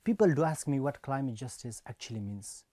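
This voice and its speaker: Young man from Afghanistan, measured prepared speech, mellow tone, dry close sound. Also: medium pitch, expressive, dry recording. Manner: earnest, measured delivery. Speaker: speech reader.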